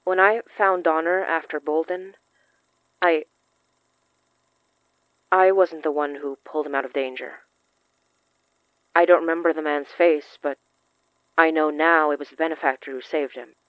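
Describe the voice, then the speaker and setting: A woman acting in a fiction podcast as a shaken, earnest young woman who confides shyly in halting phrases. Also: sits low, fairly even intonation, dry recording, narrow, tinny audio. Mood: serious. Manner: hesitant, halting, confessional.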